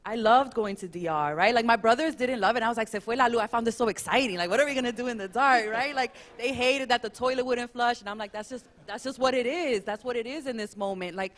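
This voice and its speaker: A woman on a panel telling animated, lively stories from her life. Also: a little high, quick pace, few pauses. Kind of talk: panel storytelling.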